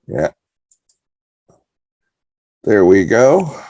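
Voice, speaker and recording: An older man giving a lodge presentation over a video call, pausing now and then to sort out his screen. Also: fairly low pitch, sing-song, gravelly, mellow, no room sound, clean studio sound. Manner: halting, pauses while sorting out his screen. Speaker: guest speaker. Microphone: computer mic on a video call.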